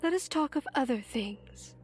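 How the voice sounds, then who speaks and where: A woman playing a gentle, earnest young duchess in an audio drama, hesitant and pleading. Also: high-pitched, expressive, normal speed, soft background music. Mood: pleading, uneasy.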